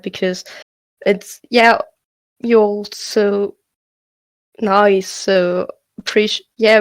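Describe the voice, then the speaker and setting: A woman's voice: mid-range voice, no echo. A young woman who is not a native English speaker, answering questions hesitantly on a video call, with many fillers and restarts. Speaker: student poet answering questions.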